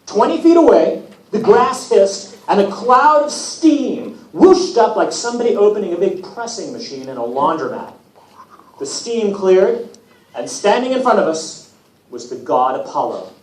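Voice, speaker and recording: A man reading aloud as a wry, put-upon teenage boy demigod, telling his own story with self-deprecating, deadpan humour. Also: high-pitched, a little slow. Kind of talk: first-person storybook reading. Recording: echoey room from far off, hissy noise.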